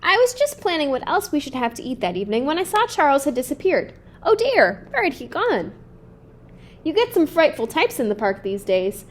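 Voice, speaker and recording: A woman's voice: high voice, very animated, normal speed. A woman voicing a haughty, bossy, well-to-do mother in a picture book, prim and indignant. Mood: fretful, commanding. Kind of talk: children's picture book read-aloud.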